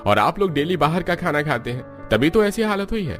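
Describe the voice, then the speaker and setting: A man acts as a firm village doctor in a cartoon family drama. He admonishes with a widely swinging, animated pitch over background music. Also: a little fast. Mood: firm warning. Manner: firm, advising. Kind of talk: cartoon story character acting. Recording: music under the voice.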